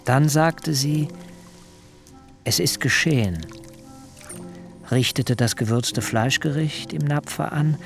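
An actor playing a cautious biblical son who tells his own story in a measured, literary way in a radio drama. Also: a little high, gravelly, soft background music. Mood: measured, recollecting. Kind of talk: radio drama acting and first-person narration.

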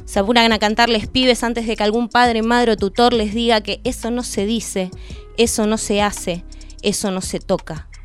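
A woman's voice: a little high, steady tone, normal speed. A woman with an Argentine accent delivering a scripted spoken-word monologue as a radio presenter. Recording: music bed under the voice. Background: music.

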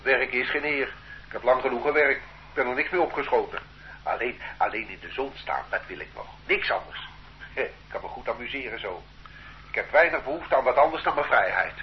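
A man's voice: mid-range voice, expressive, fairly quick, close to an everyday voice. An actor playing a defiant, work-shy man under arrest who questions and protests stubbornly in a radio drama. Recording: muffled, noisy old radio recording.

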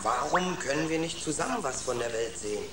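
A man's voice: fairly high pitch, animated, fairly quick, a crowd in the background, close to an everyday voice. A man playing a small, curious young rat in a children's radio play, naive and earnest in his way of talking. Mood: wistful, pleading. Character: small, curious, admiring young rat, eager to see the world.

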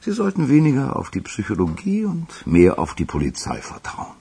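An actor playing a placating, faintly sardonic henchman in a radio crime drama, in a very husky, gravelly voice. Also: medium pitch, huge pitch swings, normal speed, dark tone, exaggerated voice. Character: smooth-talking, faintly sardonic male henchman, placating his boss.

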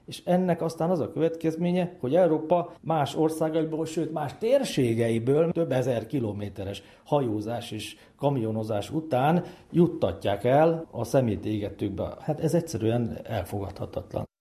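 A man's voice: medium pitch, few pauses, dark tone. A man explaining as an expert interviewee, conversational and argumentative. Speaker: university lecturer, expert interviewee.

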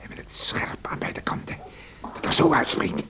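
An actor playing an embittered, menacing drunkard in a radio drama, speaking in hushed, halting, conspiratorial tones. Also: male voice, whispered, close to an everyday voice. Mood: secretive, menacing. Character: embittered, menacing drunkard master of a moorland house.

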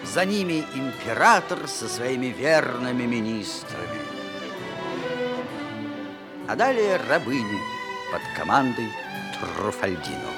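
Slow, animated male narrator over music. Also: mid-range voice. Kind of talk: scripted theatrical radio-play narration. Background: music with violins.

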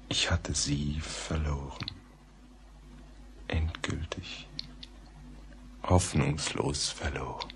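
An actor playing a grave, haunted man who slowly recounts a tragedy in a brooding radio play, sometimes near a whisper. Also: extremely low pitch, heavily acted. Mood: feverish, despairing. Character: grave, haunted male narrator recalling a tragedy. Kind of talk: German radio play narration, letter-like storytelling. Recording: faintly noisy old radio play recording.

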